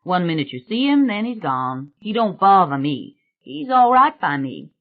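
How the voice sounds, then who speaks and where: A woman's voice: medium pitch, sing-song, moderate pace, narrow, tinny audio. Lady doing a folksy gardener guy, totally unbothered and plainspoken, audiobook, dullish recording. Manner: folksy, reassuring. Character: easygoing old-hand male gardener, unbothered, plainspoken.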